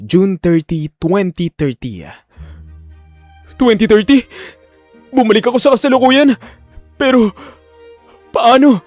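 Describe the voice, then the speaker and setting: A man's voice: sits high, lively intonation, slow pace, long pauses, a little mellow, no room sound, faint music behind, voice pushed up. Man acting bewildered male lawyer, raised dramatic voice. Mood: stunned. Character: bewildered thirty-year-old male lawyer transported through time.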